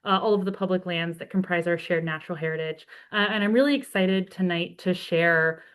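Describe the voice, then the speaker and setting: Woman, conservation advocate, webinar talk over a video call; slightly hoarse from a cold, friendly, lots of fillers. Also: on the low side, brisk, fairly bright tone. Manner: friendly, talks freely with many ums and uhs. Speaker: conservation advocate presenting a report. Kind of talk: webinar presentation.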